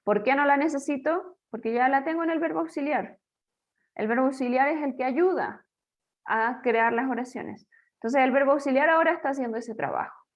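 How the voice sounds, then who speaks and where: A woman with a slightly high voice gives an English grammar lesson, explaining patiently and step by step. She often poses a question and answers it herself, and slows down to model example sentences. Her delivery is steady and teacherly.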